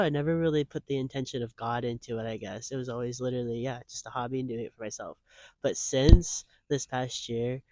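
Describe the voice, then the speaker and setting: A man's voice: mid-range voice, a little mellow, no room sound. A man of twenty-five answering interview questions in a casual, earnest way, rambling with lots of "like" fillers. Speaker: guest.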